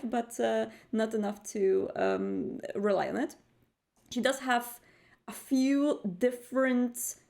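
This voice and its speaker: A woman's voice: fairly high pitch, creaky, darkish tone. A woman playing a tabletop roleplaying game, describing her character off the cuff and hesitantly searching for words.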